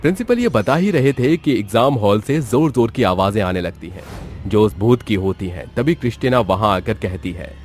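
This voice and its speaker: Low-voiced male horror storyteller. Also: lively intonation, moderate pace. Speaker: narrator. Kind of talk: dramatic scripted horror story narration.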